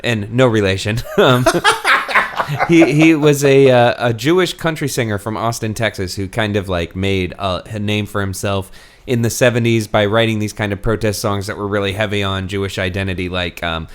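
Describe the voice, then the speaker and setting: A man's voice: on the low side, normal speed, a bit bright. A man hosting a podcast, chatting casually about books with wry jokes and easy swearing. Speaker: podcast host.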